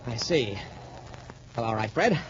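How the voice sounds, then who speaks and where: An actor playing a steady, shrewd young cadet boxer with a measured, wary manner in 1940s radio drama. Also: male voice, medium pitch. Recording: muffled, noisy old radio recording.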